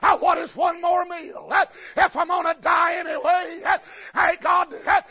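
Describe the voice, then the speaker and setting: A man voicing a poor widow woman facing starvation, shouted and broken up with uh. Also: very high-pitched, steady tone, moderate pace, some chatter behind, full character voice. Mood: resigned. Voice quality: shouted, punctuated by uh. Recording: lo-fi recording with faint congregation.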